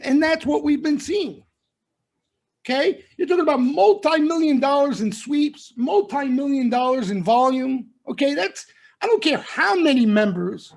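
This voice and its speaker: A middle-aged man hosting a trading broadcast, giving blunt, emphatic market talk in street-wise slang and constantly checking in with "okay?" and "right?". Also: extremely high pitch. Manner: emphatic, punctuates nearly every point with "okay?" and "right?". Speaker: trading room host. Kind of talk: live market commentary broadcast.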